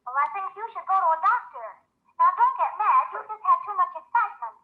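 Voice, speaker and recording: A tinny old radio recording carries a young woman with a very high voice. She is a pleading, exasperated comedy sweetheart, played in theatrical 1930s style. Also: very animated, fast talker. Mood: alarmed, urgent.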